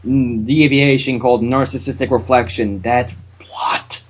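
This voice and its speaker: A man's voice: medium pitch, steady tone, measured pace. A man hosting a casual fan fiction reading, narrating with chatty, wry asides. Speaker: channel host and reader.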